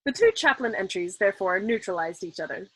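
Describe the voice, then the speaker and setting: A woman reading a novel aloud in an expressive, dramatic audiobook style, as the host of a storytime. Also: medium pitch, a little fast, low-quality recording.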